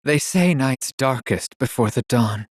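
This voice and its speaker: Game casting: gloomy heartbroken man, mid-pitched, unhurried, bleak delivery. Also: animated, no echo, clean studio sound. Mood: hopeless gloom. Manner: bleak, melancholy. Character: despondent, brooding heartbroken man gazing seaward. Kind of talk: video game character acting.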